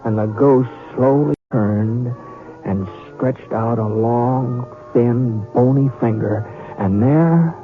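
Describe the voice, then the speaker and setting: An actor playing a homespun western storyteller, narrating a radio drama in a halting, conversational way. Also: male voice, mid-range voice, faint music behind, natural voice. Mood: folksy, wry. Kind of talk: old-time radio storytelling. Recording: tinny radio sound with crowd noise.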